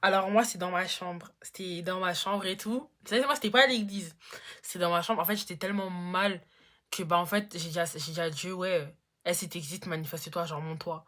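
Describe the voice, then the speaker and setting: A woman's voice: on the low side, fairly even intonation, a little fast, a bit bright. A teenage girl in a casual Q&A vlog, chatty and slangy, full of fillers. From Congo-Brazzaville. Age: teens. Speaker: YouTuber.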